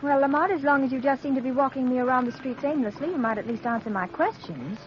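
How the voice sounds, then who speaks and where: A woman's voice: high voice, quick pace, close to an everyday voice. An actress playing an inquisitive, mildly impatient lady companion in a 1930s radio drama. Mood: inquisitive, chiding. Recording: dull, noisy 1930s radio recording.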